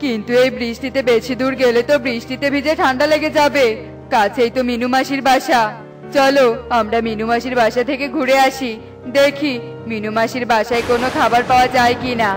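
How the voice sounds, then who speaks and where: Woman doing a coaxing cartoon crow husband, high voice, wheedling, music in the background. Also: steady tone, a little fast, natural voice. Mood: placating. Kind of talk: Bengali children's cartoon voice acting.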